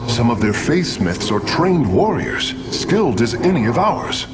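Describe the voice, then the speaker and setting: An actor playing a calm, deferential alien engineer in a video game, speaking in a measured, formal way. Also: male voice, mid-range voice, music in the background. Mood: analytical. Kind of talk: dramatic video game voice acting. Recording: clear ambient noise behind.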